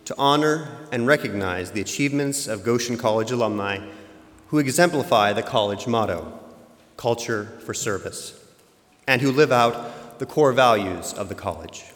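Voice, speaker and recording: A man chairing an alumni board, giving a slow, solemn ceremonial address. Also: mid-range voice. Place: large auditorium. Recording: echoey auditorium with long reverb.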